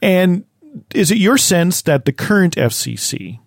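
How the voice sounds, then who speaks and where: A man's voice: sits high, big pitch swings, a little slow, vocal fry, no room sound. Man, host, dry close sound, thoughtful long questions, fillers. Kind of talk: interview questions.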